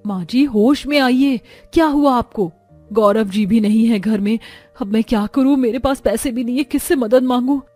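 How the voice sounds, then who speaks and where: A woman's voice: on the high side, normal speed, mellow, faint music behind, natural voice. A woman playing a spoiled, quarrelsome rich housewife in a cartoon story, with a complaining, demanding manner. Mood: panicked. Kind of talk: cartoon moral-story dialogue.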